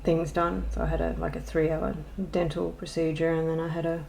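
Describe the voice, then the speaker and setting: A woman's voice: sits low, monotone, a little fast, creaky, darkish tone, slight background noise, rough recording. A woman chatting casually in a show-and-tell vlog, rambling and hesitant with many ums and self-deprecating asides. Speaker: colouring channel host.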